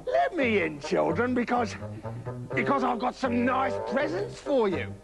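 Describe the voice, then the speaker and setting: A man's voice: extremely high pitch, wildly swooping pitch, measured pace, creaky, over loud music, pitched above the performer's own voice, exaggerated voice. A man voicing a greedy, rude, impatient wolf in a children's story, blustering and wheedling.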